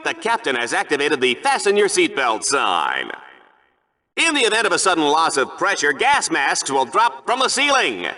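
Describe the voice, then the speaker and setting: A slick video game announcer with a high, forceful voice. He is goofy and over-excited, swooping theatrically through his hyped sports commentary like a showman. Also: heavily acted. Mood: mock-official. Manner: showy, theatrical, hammy.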